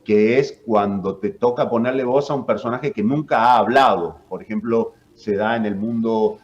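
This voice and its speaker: A man's voice: lowish voice, moderate pace, lo-fi sound, a little hiss or hum. A man who works as a voice actor and announcer, with an Argentine accent mixing northern and Córdoba tones, explaining his craft at length with many fillers and tag questions. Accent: Argentine, northern mixed with Córdoba. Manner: explanatory, with frequent fillers and "¿no?" tags. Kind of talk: radio interview guest.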